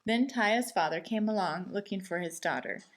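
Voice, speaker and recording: A woman reading a children's picture book aloud as an expressive storyteller, with deliberate emphasis. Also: medium pitch, slight background noise.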